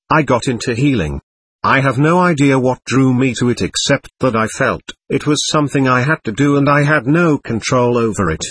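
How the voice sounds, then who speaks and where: A man voicing a gentle 65-year-old woman healer and grandmother, telling her story in a calm, earnest way. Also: medium pitch, husky, no echo.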